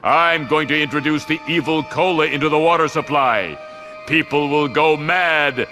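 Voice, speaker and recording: An actor playing a pompous, scheming space alien villain in a cartoon game, declaiming in a grandiose way. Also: male voice, on the high side, moderate pace, sharp tone, soft background music. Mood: gleeful scheming.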